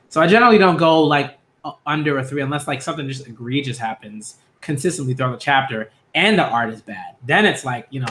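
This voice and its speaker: Bright-voiced man rambling casually. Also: mid-range voice. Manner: rambling, filler-heavy, self-correcting; stumbles when reading names aloud. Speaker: manga reaction host. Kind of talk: casual live reaction chat.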